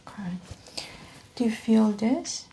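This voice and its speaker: A woman whispering softly in an ASMR medical exam roleplay, calmly talking through each step of the check. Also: on the high side, slow pace, slight background noise.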